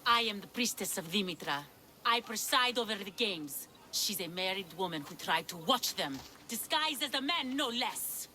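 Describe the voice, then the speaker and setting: A woman's voice: mid-range voice, lively intonation, moderate pace, sharp tone. A woman playing a haughty, severe ancient Greek priestess in a video game, speaking formally. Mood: stern. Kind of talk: video game character acting.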